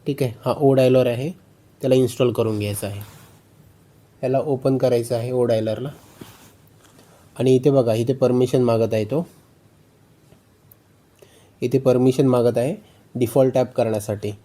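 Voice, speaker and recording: Male tutorial host, mellow and relaxed tone, mid pitch, patient step-by-step instruction with repeated phrases. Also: normal speed, pauses often. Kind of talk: phone tutorial narration.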